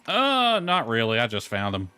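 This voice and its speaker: A man's voice: mid-range voice, wildly swooping pitch, moderate pace, clean tone. A man giving casual gameplay commentary, muttering to himself in a laid-back way and reading bits of game dialogue aloud. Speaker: gaming video creator. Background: soft lo-fi music.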